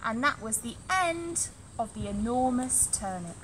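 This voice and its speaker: A woman reading a story aloud to young children in an animated, deliberate, emphatic way, as a nursery storyteller. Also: a little high, a little hiss or hum.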